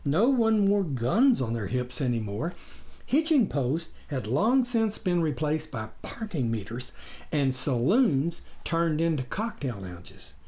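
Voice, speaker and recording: A man reading a story as scripted narration, in a deliberate, expressive audiobook style. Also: a little high. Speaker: author reading own memoir.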